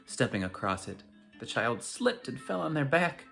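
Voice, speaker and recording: A storyteller reading a bedtime folk tale aloud in an expressive, dramatic way. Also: medium pitch, moderate pace, vocal fry, soft background music. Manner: dramatic, animated delivery. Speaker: storyteller reading to a school community.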